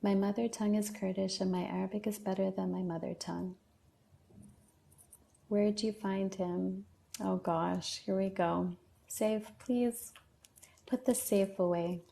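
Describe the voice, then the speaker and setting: A woman's voice: medium pitch, fairly even intonation, a little slow, creaky, long pauses, mellow. A woman hosting a casual livestream, reading out viewer comments and answering them one by one. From Lebanon. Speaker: livestream host.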